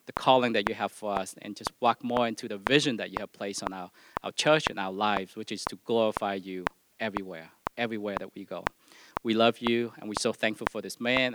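A man speaking earnestly and haltingly as a host pastor, informally addressing a church congregation. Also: lowish voice, noisy background. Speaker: host pastor introducing a guest. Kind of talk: informal church introduction and spoken prayer.

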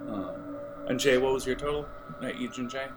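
Guy game mastering an rpg, halting and chatty, lower-mid voice, music under him. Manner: halting, searching for words, conversational. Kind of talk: narrating an audio role-playing game.

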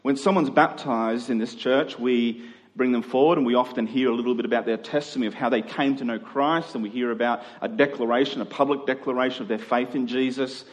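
A man preaching a sermon as a pastor, swinging between emphatic and hushed delivery with rhetorical questions. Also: mid-range voice, steady tone, brisk. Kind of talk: sermon to a congregation. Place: large reverberant church hall. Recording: long hall reverb.

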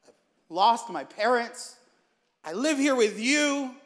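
A man's voice: sits high, normal speed, fairly bright tone, long reverb tail. A man voicing a frustrated, pleading schoolboy grandson in a told story. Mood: defeated, upset.

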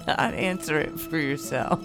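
A woman hosting a podcast, narrating and interviewing in a wry, self-deprecating storytelling manner. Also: fairly low pitch. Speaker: podcast host.

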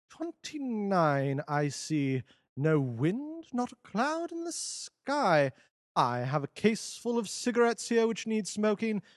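A man reading an audiobook, voicing a calm, brilliant detective who talks in a measured, lofty, amused way. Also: on the high side, no echo, studio quality. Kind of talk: audiobook character voice.

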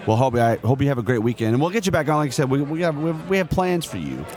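A man hosting a podcast, interviewing a guest in a quick, chatty and eager way. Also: mid-range voice, big pitch swings, a crowd in the background. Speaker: podcast host. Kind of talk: casual interview. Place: convention hall.

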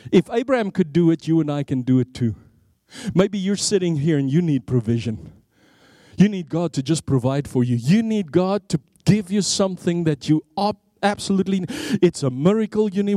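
Evangelist, male, high voice, unhurried emphatic sermon delivery, dry close sound. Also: a little mellow, no room sound. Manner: emphatic, repeats words, swings between rising and low dropped phrases; explains Hebrew names of God with rhetorical questions. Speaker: evangelist preacher.

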